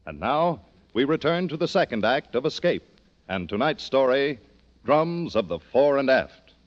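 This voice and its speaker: A man's voice: medium pitch, sing-song, a little slow, slight background noise. A man reading scripted announcements as a 1940s network radio announcer. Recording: dull old radio recording.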